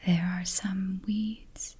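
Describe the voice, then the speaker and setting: A woman guiding an ASMR meditation in a soothing, hushed, whispery soft voice, leaving long pauses between short phrases. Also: mid-range voice, steady tone, slightly rough. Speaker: ASMR host, meditation guide.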